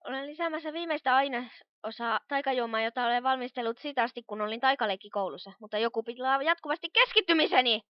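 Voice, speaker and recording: Woman voicing a high-pitched studious young pony magician. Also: few pauses, no room sound, close to an everyday voice. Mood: focused. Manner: precise, explanatory, lecturing. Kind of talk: animated cartoon fan dub acting. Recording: dull lo-fi home recording.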